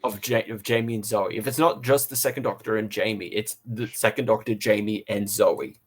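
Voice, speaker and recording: A man co-hosting a casual review podcast, talking haltingly and repeating phrases as he thinks aloud. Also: lowish voice, fairly even intonation.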